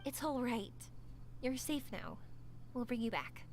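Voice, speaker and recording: A young woman streamer reading game dialogue aloud, gentle when reading, loud in her reactions. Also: fairly high pitch, a little slow, a little hiss or hum.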